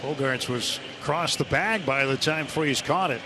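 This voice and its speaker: A radio play-by-play man with a fairly high voice calls a baseball game. His phrasing is clipped and descriptive, ready to lift for big plays, and clear stadium noise sits behind him. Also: moderate pace. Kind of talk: live baseball play-by-play commentary. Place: ballpark broadcast booth. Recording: radio broadcast with loud ballpark crowd noise.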